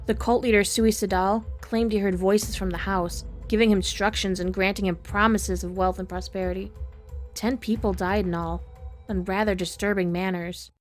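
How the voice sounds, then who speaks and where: A woman's voice: medium pitch, steady tone, normal speed, faint music behind, natural voice. A woman playing an inn receptionist who recounts grisly local lore in a knowing, matter-of-fact way, in a horror audio drama. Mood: ominous, knowing. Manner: storytelling, matter-of-fact about grisly tales. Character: front desk lady, ominous teller of local lore.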